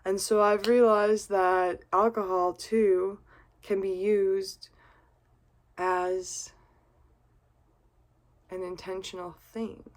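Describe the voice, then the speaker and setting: A woman with a middle-pitched voice talks to her camera in a loose, wandering monologue. She is earnest and confiding, and drops casual swears and little laughs as she goes, sounding like she's had a drink. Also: flat delivery, drawn-out words, pauses often. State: has been drinking vodka. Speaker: vlogger.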